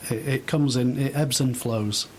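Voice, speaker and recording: A middle-aged man giving thoughtful, halting answers as a guest in an unscripted radio interview. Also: medium pitch, slight background noise. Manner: hesitant, thoughtful, halting phrases. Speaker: interview guest, volunteer host.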